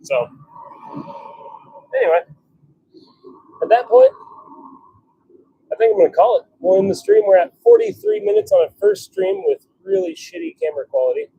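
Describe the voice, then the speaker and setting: A man chatting casually on a live stream, thinking out loud as he works in his workshop. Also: extremely high pitch, huge pitch swings, normal speed, gravelly, pauses often, dull audio. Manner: chatty, thinking out loud while working at the forge. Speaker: livestream host, hobby blacksmith. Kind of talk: casual live-stream chat while working. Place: home forge workshop.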